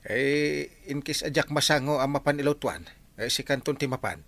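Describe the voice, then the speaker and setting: A man's voice: medium pitch, moderate pace, a bit bright, lightly acted. An actor with an Ilocano accent playing a caring, chatty village man in a comedy drama.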